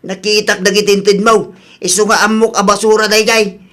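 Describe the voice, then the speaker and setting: An actor with an Ilocano accent playing a fretful, scolding village husband in a radio comedy drama. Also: male voice, sits high, flat delivery, normal speed, a bit bright.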